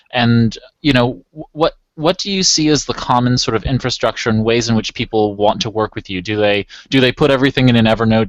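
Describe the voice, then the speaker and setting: A man's voice: mid-range voice, no room sound. Man hosting a video call chat. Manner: energetic, leading questions, self-described enthusiast. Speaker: host. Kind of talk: casual video call discussion. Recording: dull dry video call audio.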